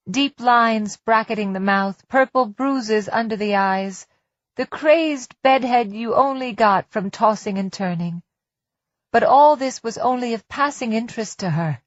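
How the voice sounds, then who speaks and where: Woman reading a dark novel, slow and careful, kinda roomy dull sound. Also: a little high. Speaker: audiobook narrator. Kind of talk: scripted literary narration, suspense fiction.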